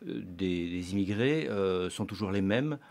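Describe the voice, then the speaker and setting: A man's voice: deep voice, lively intonation, measured pace, darkish tone. A male journalist in a radio studio putting a question to a debate guest in hesitant, broken phrases.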